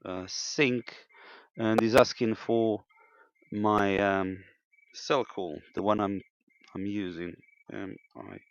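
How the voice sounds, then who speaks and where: Low, creaky-voiced man, halting delivery. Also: muffled recording. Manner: hesitant, halting, step-by-step delivery. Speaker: amateur radio operator demonstrating equipment. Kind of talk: unscripted technical demonstration.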